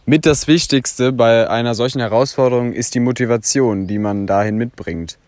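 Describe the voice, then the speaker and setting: Ein neunzehnjähriger Mann aus Köln, der sich vorstellt und vorgelesene Fragen stellt. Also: male voice, medium pitch, normal speed, a little mellow, no echo. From Cologne, Germany. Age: teens. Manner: asks questions, reads from script. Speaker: young volunteer.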